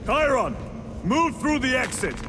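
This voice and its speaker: A man playing a stern, commanding super-soldier officer in a video game, speaking tersely and with authority in a gravelly voice. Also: very high voice, big pitch swings, a little slow, exaggerated voice. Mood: urgent, commanding. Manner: terse, authoritative, barking orders. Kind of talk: dramatic video game voice acting. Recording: loud music and battle noise behind.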